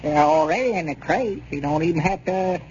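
Male actor as old Southern country storekeeper, high voice, fretful, stubborn, folksy drawl, 1930s radio comedy, muffled noisy recording. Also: lively intonation, fairly quick, darkish tone, natural voice. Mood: grumbling. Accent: rural Southern US.

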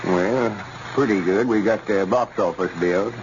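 An actor playing a folksy old country storekeeper with a rural Southern drawl in a 1930s radio comedy serial. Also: male voice, lowish voice, measured pace, natural voice. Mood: folksy, pleased. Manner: drawling rustic dialect. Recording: muffled, noisy 1930s radio recording.

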